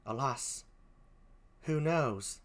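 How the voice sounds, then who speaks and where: A performer as fierce British gentlewoman, slow and impassioned. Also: mid-range voice, animated. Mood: uneasy. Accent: British, put on. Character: fierce, grieving, defiant gentlewoman, loyal to her mistress. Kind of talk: classical stage tragedy performance.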